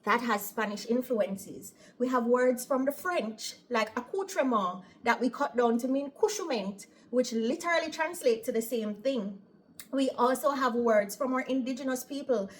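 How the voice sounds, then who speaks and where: A woman's voice: high-pitched, moderate pace. A woman from Jamaica with a Jamaican accent, a nurse chatting casually to her viewers and often asking "right?". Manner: chatty, opinionated, tags lines with "right?". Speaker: operating room nurse. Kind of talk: casual vlog storytelling.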